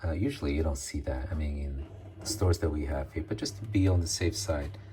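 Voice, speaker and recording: Deep-voiced man cooking. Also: fairly quick, mellow. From Morocco. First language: Amazigh. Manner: chatty, searches for English words with many ums. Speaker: cooking video host. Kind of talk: casual cooking demonstration.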